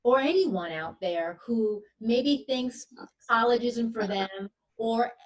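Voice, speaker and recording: A woman's voice: fairly high pitch, animated, takes it slowly. Woman interviewer, somewhat off-mic. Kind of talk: interview questions. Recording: distant from the mic.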